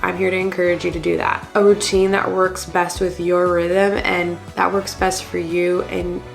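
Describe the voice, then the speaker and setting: Congested woman vlogger, friendly and lowish. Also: little pitch movement, normal speed, vocal fry, faint music behind. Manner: friendly and encouraging, chatty. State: congested from a recent cold. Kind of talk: casual lifestyle vlog talk.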